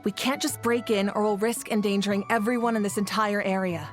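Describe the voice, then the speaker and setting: A woman's voice: mid-range voice, steady tone, brisk, faint music behind, lightly acted. A woman playing a composed, authoritative leader in a video game, speaking with poise and decision. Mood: cautious.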